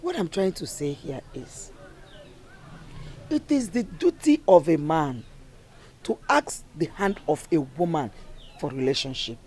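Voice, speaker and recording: A woman's voice: sits low, very animated, a little slow, long pauses, a little mellow, slight background noise. An actress playing a worried middle-aged mother, lecturing her daughter earnestly.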